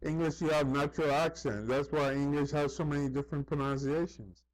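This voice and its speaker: Higher-voiced man rambling casually. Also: fairly even intonation, fairly quick, darkish tone, rough recording. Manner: conversational, repeats himself, often says "you know"; acts out other people's remarks in quotes. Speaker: vlogger. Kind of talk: casual rambling monologue with anecdotes.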